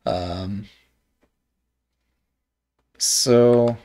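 A man reviewing a board game, thinking aloud in a hesitant, musing way with many pauses and trailing thoughts, with a slight Midwest American accent. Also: on the low side, mellow. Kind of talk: casual game commentary while thinking aloud.

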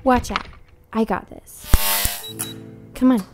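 A woman's voice: on the high side, steady tone, slow, music in the background. A woman playing a blunt, determined young undead half-vampire in an audio drama, casual and sardonic. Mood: urgent. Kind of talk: naturalistic audio drama acting.

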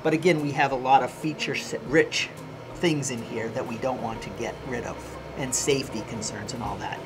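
An older male engineer explains his design choices thoughtfully, restarting now and then. He is recorded in an office, with steady background noise clearly audible behind him. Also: mid-range voice, normal speed. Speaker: engineer interviewee. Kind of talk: casual explanation. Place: office with whiteboard.

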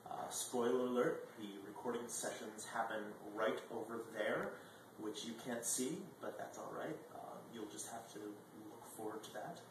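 A man's voice: medium pitch, moderate pace. A man in his twenties hosting a video blog, chatting casually and animatedly to the camera, with sudden jumps in volume. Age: 20s. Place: indoor dining room at a table. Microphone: far camera microphone. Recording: echoey room, distant mic, faint noise.

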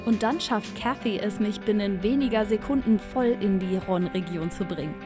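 A woman's voice: mid-range voice, moderate pace. A young woman reporter narrating a travel TV segment in a light, chatty way. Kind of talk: scripted TV voice-over narration. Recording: voice-over with clear background music.

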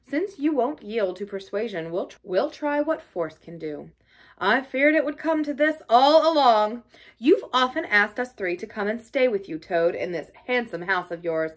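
A woman's voice: a little high, lively intonation, moderate pace, lightly acted. A woman voicing a grave, stern but kindly male badger in a storybook, with a formal, oratorical manner. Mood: firm.

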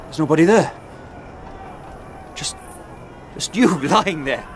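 An actor playing a knight's eager, dogged younger brother in a historical radio drama. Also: male voice, a little high, expressive, slow, a little hiss or hum. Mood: nervous, wry.

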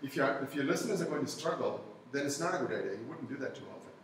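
A man's voice: mid-range voice, animated, very fast, recorded from a distance, slight background noise. Tamil-speaking man lecturing in an echoey hall. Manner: conversational, many "you know" and "okay?" check-ins. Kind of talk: university lecture with audience discussion. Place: lecture hall with blackboard and projector. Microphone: far room camera.

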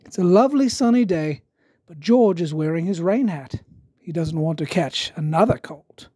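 A storybook narrator giving an expressive, dramatic read-aloud for children. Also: medium pitch, moderate pace, husky, dry recording, clean studio sound. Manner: expressive, theatrical.

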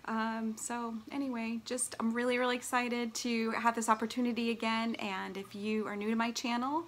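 A woman's voice: fairly high pitch, flat delivery, normal speed. Upbeat middle-aged woman, faint room noise. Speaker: vlogger and long-distance hiker. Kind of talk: casual announcement to camera. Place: small furnished living room at home, indoors.

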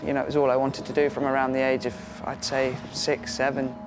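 A man's voice: medium pitch, monotone, brisk. A young man from England, a jockey, chatting casually in an interview and leaning on "you know".

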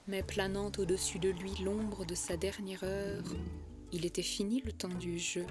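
Slow, low-voiced woman narrating over prominent music. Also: steady tone. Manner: solemn, lyrical, measured delivery. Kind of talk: poetic storybook narration of an animated short. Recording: music under the voice.

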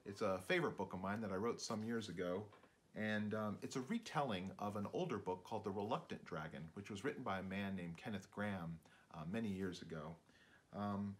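A man in his fifties, a children's author, speaking informally and reading storybooks aloud at home in his book-lined studio. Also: on the low side.

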